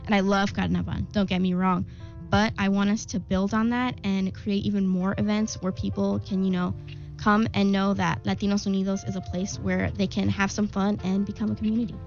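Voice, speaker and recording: A woman's voice: mid-range voice, flat delivery, a little fast, vocal fry, a little mellow, muffled recording, soft background music. A young woman from Long Island, a student club president, answering interview questions in a chatty, enthusiastic way with many fillers. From Long Island, New York. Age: young adult.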